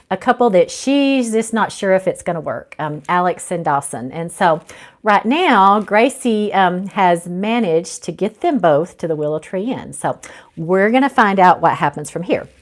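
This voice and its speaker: A woman's voice: mid-range voice, lively intonation, normal speed. A woman with a mid-range voice hosts an informal online reading as an author. The delivery is that of someone sharing their own book with followers, part chat and part read-aloud.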